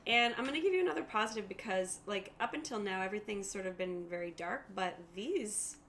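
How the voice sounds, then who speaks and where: A woman's voice: medium pitch, expressive, a little fast, fairly bright tone. A woman casually running a tabletop roleplaying game as game master, explaining rules and narrating the action. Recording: faint background noise.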